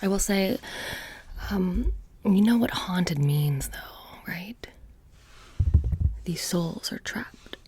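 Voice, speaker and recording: A woman playing a bored, petty young witch shopkeeper in a soft-spoken ASMR roleplay, casually menacing and deadpan, breathy and often dropping into a whisper. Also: lowish voice, a little hiss or hum. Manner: deadpan, casual, many drawn-out pauses and filler "like".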